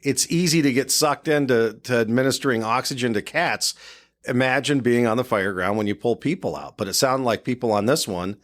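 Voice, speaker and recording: A man's voice: medium pitch, fairly quick. A man hosting a casual discussion as someone who works in the fire service. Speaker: podcast host and fire officer.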